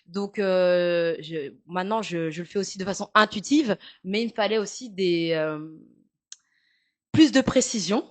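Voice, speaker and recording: A woman's voice: on the low side, measured pace. A young woman with a Parisian French accent, a massage therapist chatting frankly in an interview, with many fillers and jokes. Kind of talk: casual interview chat.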